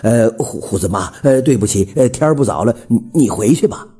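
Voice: mid-range voice, huge pitch swings, moderate pace, warm tone, husky, exaggerated voice. A storyteller voicing a timid, simple village man who stammers and hesitates, easily flustered. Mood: hesitant.

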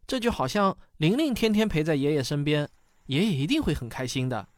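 A man playing a gentle, supportive father in a family radio drama. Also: a little high, big pitch swings, fairly quick, slight background noise. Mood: approving.

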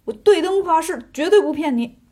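A woman's voice: very high voice, animated, fairly quick, mellow, pitched above the performer's own voice. A woman voicing a comic male pig demon in a story, grumbling and indignant in a colloquial way. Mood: eager.

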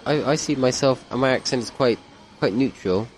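A man's voice: medium pitch, normal speed. A man with a Bristol accent, a guest on a casual chat podcast, easygoing and a little hesitant. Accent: Bristol English. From Bristol, England.

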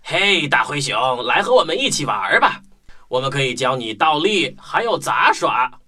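A man's voice: mid-range voice, big pitch swings, moderate pace, pushed and bright, a little hiss or hum. A man voicing a friendly, upbeat circus juggler in a children's radio play. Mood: upbeat, inviting.

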